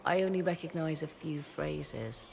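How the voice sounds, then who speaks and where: An actress playing a haughty, scholarly sorceress in a video game, speaking in formal, archaic, imperious phrases. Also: female voice, deep voice, animated, measured pace, gravelly, darkish tone, slight background noise, exaggerated voice. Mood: studious, absorbed. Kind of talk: dramatic video game acting.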